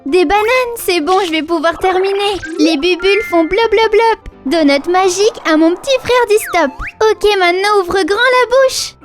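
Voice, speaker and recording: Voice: extremely high pitch, animated, moderate pace, hardly any pauses. A performer voicing an eager, playful little lion cub in a rhyming children's cartoon, bouncy and exclamatory. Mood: eager, worried. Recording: music behind the voice.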